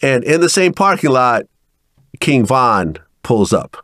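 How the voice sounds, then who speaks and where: A man's voice: medium pitch, sing-song, slow, fairly bright tone, no echo. Matter-of-fact male interviewer, dry sound. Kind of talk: interview questioning.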